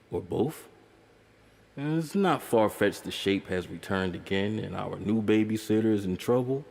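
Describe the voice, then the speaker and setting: Low-voiced male narrator for a film essay; dry wit, casual cursing, reverberant sound. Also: a little mellow, husky. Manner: wry, conversational, with profane asides.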